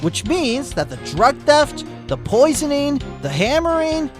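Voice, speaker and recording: A woman's voice: sits high, sing-song, unhurried, over music. A woman voicing a smug, hyperactive male sloth witness with a cocky drawl.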